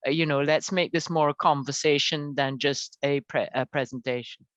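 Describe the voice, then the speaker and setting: A woman's voice: low voice, fairly even intonation, normal speed, dry recording. A woman from Guyana, a senior lecturer, opening an academic webinar in a hesitant way, with many fillers and restarts. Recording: dull lo-fi call audio.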